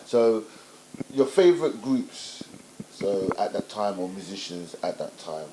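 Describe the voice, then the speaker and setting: A man's voice: on the low side, lively intonation, unhurried, noisy background. A man hosting an interview, asking long, rambling questions full of fillers like "you know" and "obviously". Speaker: interview host.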